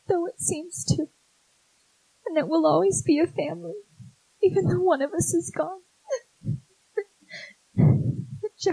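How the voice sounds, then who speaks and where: An actress playing a gentle, dying younger sister in a 1940s-style radio drama, speaking tenderly and haltingly. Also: female voice, very high-pitched, vocal fry, heavily acted, low-quality recording. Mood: tearful, loving. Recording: live stage recording with music behind.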